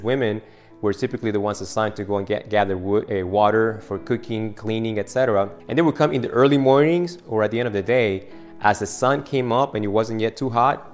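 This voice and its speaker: A man from Argentina, preaching an Easter sermon in a conversational storytelling manner and asking his listeners questions. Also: lowish voice. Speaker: pastor.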